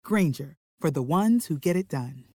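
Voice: medium pitch, lively intonation, moderate pace, mellow. Polished commercial narrator voice, faint noise.

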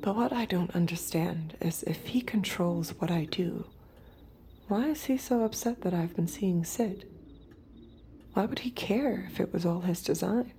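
An actress playing a subdued artificial young woman, a haunted reluctant killer, in a quiet, intimate audio drama monologue. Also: lowish voice, a little slow, gravelly, slight background noise. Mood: wistful, brooding. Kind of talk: dramatic first-person audio fiction narration.

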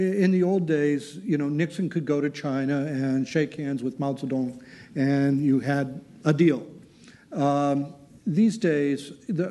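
Mellow-voiced man on a panel. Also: fairly high pitch. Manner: thinking aloud, many fillers. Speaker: panel expert. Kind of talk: panel discussion answer.

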